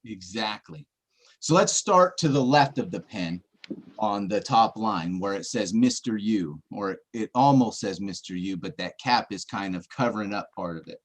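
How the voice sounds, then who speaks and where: A man's voice: lowish voice, expressive, normal speed. A man on a casual livestream chat, walking through puzzle clues step by step, joking now and then and checking with his listener. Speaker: treasure-hunt searcher presenting clues.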